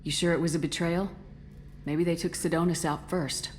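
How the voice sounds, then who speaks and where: A woman playing a steady, tough military commander in a video game, direct and controlled as she asks questions. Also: fairly low pitch, normal speed, slight background noise, natural voice. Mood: calm, probing. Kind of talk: video game dialogue acting.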